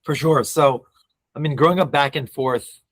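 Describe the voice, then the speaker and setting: A man in his thirties who grew up between the US and Israel, a podcast guest telling stories in a reflective, halting way. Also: on the high side, a bit bright. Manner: reflective, many restarts and fillers. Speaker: guest, nonprofit CEO. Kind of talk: interview.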